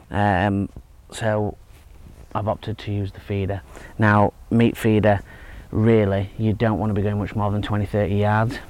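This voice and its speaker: A man in his thirties giving a relaxed, hesitant fishing tutorial outdoors by a lake, with lots of fillers and restarts. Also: lowish voice. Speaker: angling instructor. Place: outdoors at a lakeside fishing spot. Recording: faint outdoor background noise. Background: faint outdoor ambience.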